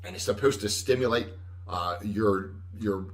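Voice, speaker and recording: A man hosting a casual livestream chat, rambling and correcting himself with frequent chuckles, with a loud voice. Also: on the low side, normal speed, far from the mic. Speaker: host. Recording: echoey room, voice from afar.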